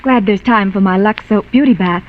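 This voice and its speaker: An actress playing a bubbly young wartime housewife talking aloud to herself in a 1940s radio soap commercial. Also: female voice, medium pitch, moderate pace, lightly acted. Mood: relieved, pleased. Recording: muffled vintage 1940s radio broadcast.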